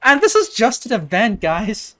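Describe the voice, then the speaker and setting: Expressive woman giving casual reaction commentary. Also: fairly high pitch, moderate pace. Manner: informal, slangy, exclamatory. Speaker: reaction video host.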